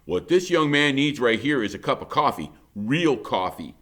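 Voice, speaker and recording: A man's voice: medium pitch, big pitch swings, normal speed, a bit bright, voice pushed up. A man voicing a stern but proud grandfather in an audiobook, with a folksy, solemn way of talking. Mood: proud, emphatic. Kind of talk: audiobook character voice.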